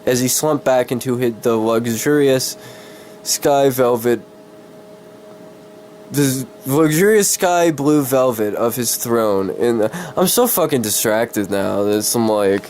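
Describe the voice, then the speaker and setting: A man with a cold doing a dramatic story reading, halting and easily distracted, with casual swearing. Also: medium pitch, animated, darkish tone. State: sick with a cold.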